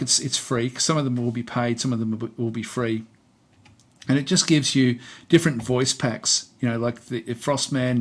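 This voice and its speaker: A man with a mid-pitched voice presents a software walkthrough in an informal, off-the-cuff way. He rambles and hesitates, circling back over the same points as he explains each step. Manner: rambling, hesitant, repeats himself. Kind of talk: casual unscripted screen-recorded tutorial and review.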